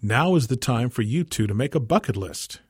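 A man's voice: medium pitch, expressive, normal speed, darkish tone, breathy edge, dry recording, clean studio sound. A man voicing a farmer's adult son in a short story, an enthusiastic idea man urging others on. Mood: inspired.

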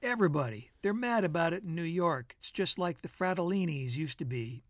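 A reader puts on a brash American man, a wisecracking character from a storybook novel. He is needling and declamatory, pitched fairly high, in a dry studio sound. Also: animated, a little fast, no echo, natural voice. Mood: mock-insistent.

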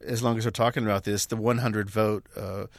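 A man's voice: on the low side, flat delivery, fairly quick, no echo, clean studio sound. A man speaking as a news editor, giving conversational analysis on the radio.

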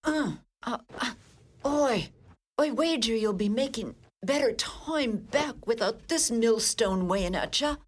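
An actress playing a courteous, teasing Irish post office clerk in a radio play, with a wry manner. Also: female voice, a little high, expressive, a little slow. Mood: straining. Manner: polite, wry.

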